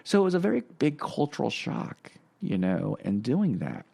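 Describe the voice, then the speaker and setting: A man's voice: medium pitch, very animated, normal speed, creaky, warm tone, slightly rough. A middle-aged man whose first language is Korean, telling personal stories as a podcast guest in a rambling way, full of fillers and restarts. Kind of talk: casual personal storytelling.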